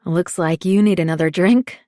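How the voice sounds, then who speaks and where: A woman's voice: fairly low pitch, steady tone, normal speed, warm tone, no room sound, clean studio sound, natural voice. A woman voicing a friendly, teasing young man in an audiobook thriller. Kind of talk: audiobook dialogue reading.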